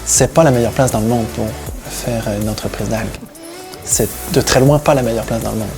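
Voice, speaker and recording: A man from the Paris region, telling about his own work and life in a relaxed interview account. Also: mid-range voice, brisk, over music. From Paris region, France, with Breton roots. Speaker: seaweed harvesting diver and business owner, interviewee.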